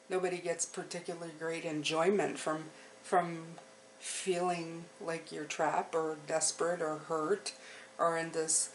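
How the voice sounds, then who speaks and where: Low-voiced woman reading tarot, noisy background. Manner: reassuring, often checks in with listener. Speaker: tarot reader hosting a channel. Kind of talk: intuitive tarot reading, unscripted spiritual talk to viewers.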